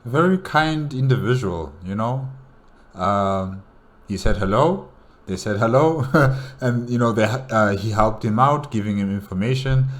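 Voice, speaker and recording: A man hosting a solo reaction video with casual, halting, musing commentary. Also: fairly low pitch, expressive.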